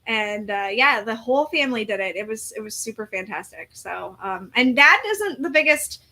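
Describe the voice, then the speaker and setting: Woman, forties, podcast co-host, casual rambling chat, bright tone. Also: on the high side, animated, normal speed. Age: 40s. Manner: rambling storytelling with many fillers.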